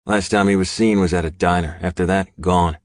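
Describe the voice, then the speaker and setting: A man's voice: low-pitched, fairly even intonation, brisk, no room sound, studio quality, natural voice. A man voicing a businesslike biker scout in an audiobook, with a clipped, frustrated manner. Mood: frustrated, reporting.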